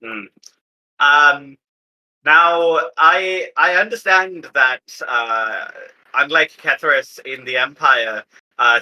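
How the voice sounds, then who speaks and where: A man plays a tabletop game, talking through his choices casually and deliberately. His voice is mid-pitched and very bright, with a sharp edge. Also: poor audio.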